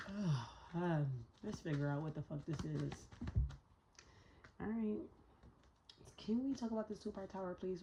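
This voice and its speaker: Hoarse, low-voiced woman reading tarot. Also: background noise. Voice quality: raspy. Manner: slangy, profane, thinks out loud; talks to spirit while pulling cards. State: hoarse, voice a little shot. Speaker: tarot reader. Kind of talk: casual tarot reading to viewers.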